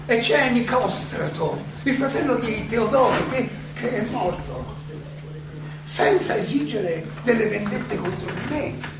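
A man acting an older, proud and ironic philosopher defending himself at trial in a halting, rhetorical stage monologue. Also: high voice, sing-song, creaky, exaggerated voice. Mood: earnest, recalling. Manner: halting, rhetorical questioning with dramatic pauses and emphatic swells. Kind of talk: theatrical stage monologue, courtroom oration. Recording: very echoey distant stage recording, noisy.

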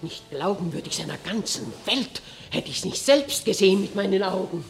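A woman's voice: mid-range voice, animated, moderate pace, gravelly. An actress playing a proud, scornful queen who speaks in haughty, commanding verse in a classic radio play. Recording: studio with music underneath.

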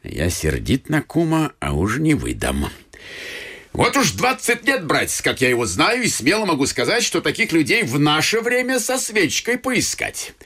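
An actor playing a blunt, nosy, self-important middle-aged family friend with pompous, old-fashioned speech in a theatrical radio comedy. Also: male voice, on the high side, sing-song, normal speed, bright, forceful tone, breathy edge, full character voice. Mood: frank, familiar. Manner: pompous, old-fashioned officialese, folksy asides.

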